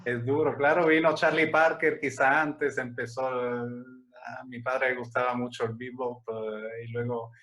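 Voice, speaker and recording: A man in his forties from Italy, speaking with an Italian accent, answering interview questions in a relaxed, hesitant way with many restarts. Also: medium pitch, unhurried, low-quality recording. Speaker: interview guest, music agency director.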